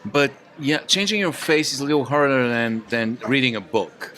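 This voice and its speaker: A man on a podcast panel talks casually with the group. He sets out his reasons one at a time, in a medium-pitched voice. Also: animated, moderate pace, a bit bright.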